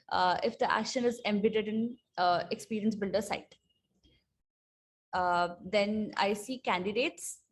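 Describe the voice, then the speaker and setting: A woman presenting an unscripted software tutorial, explaining step by step in a somewhat halting, hesitant way. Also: on the high side. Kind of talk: unscripted screen-share walkthrough.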